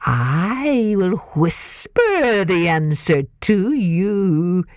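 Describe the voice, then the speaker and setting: A woman voicing a sly, menacing male crocodile with a slow, coaxing drawl in a storybook reading. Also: fairly low pitch, sing-song, a little mellow, exaggerated voice.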